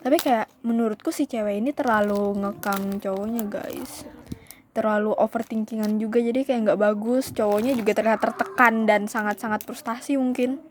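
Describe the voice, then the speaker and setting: A woman's voice: on the high side, fairly even intonation, moderate pace, slight background noise. A young woman vlogger telling a storytime in a casual, chatty way, often calling her viewers "guys" and slipping into English phrases.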